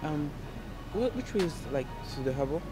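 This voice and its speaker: A man's voice: fairly high pitch, animated, moderate pace, over loud music, poor audio. Young man stopping strangers on the street. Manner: playful, loud shouts to camera, then friendly approach to strangers. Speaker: street interviewer and prank host. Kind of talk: casual street interview. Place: outdoors on city streets and a harbor.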